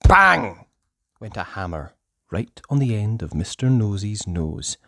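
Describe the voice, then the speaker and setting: Slow, highly animated children's storyteller. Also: no room sound, studio quality. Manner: theatrical, deliberate, with hushed passages and emphatic words. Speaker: storyteller reading a children's book aloud. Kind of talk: expressive children's story narration.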